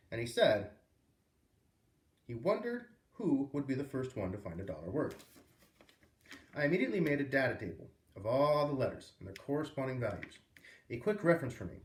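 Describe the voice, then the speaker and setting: A man reading a children's book aloud as a storyteller, with expressive, emphatic phrasing. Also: mid-range voice, fairly quick. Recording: roomy echo, distant microphone.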